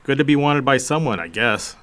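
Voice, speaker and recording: A man acting as an easygoing repair shop guy in an audio drama. His medium-pitched voice rises and falls freely, and he sounds casual and obliging. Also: animated, a little fast, a bit bright, natural voice. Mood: friendly, obliging. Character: easygoing, helpful male electronics repair shop worker.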